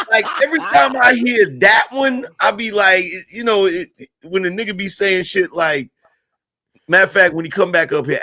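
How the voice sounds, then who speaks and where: A man's voice: high voice, sharp tone, dry recording. An American man in casual group banter, teasing and jokey with slangy talk.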